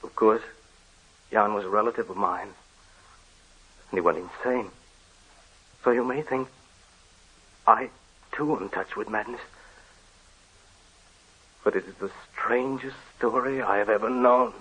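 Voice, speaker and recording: A brooding teller of a family legend. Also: male voice, fairly low pitch, pushed and bright, husky, full character voice, rough recording. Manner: measured, reflective storytelling. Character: man recounting a grim family legend, brooding. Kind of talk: 1940s radio drama narration.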